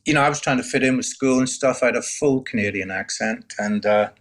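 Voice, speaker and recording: A man's voice: mid-range voice, brisk, a bit bright. Man in his sixties telling his life story. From Northern Ireland. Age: 60s. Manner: conversational, many "you know" fillers. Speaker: guest. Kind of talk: candid storytelling.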